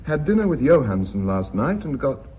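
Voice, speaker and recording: An actor playing a composed, obliging company secretary, polite and precise, in a radio drama. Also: male voice, mid-range voice, sing-song, moderate pace, lightly acted. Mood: dryly reading. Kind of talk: British radio drama acting. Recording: slightly dull, faintly noisy radio recording.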